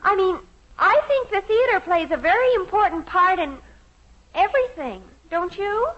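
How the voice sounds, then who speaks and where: An actress playing an anxious teenage daughter in a vintage American radio sitcom, with a pleading, overdramatic manner. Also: female voice, very high-pitched, lively intonation, moderate pace, a bit bright. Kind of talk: 1950s radio sitcom acting. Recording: muffled vintage radio recording.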